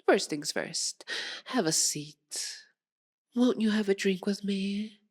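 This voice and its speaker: A woman's voice: mid-range voice, animated, slow. A woman playing a seductive, possessive, sadistic vampire aristocrat in an ASMR audio roleplay, with a theatrical, purring, teasing formality. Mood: teasing, unhurried. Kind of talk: ASMR roleplay, dramatic monologue to listener.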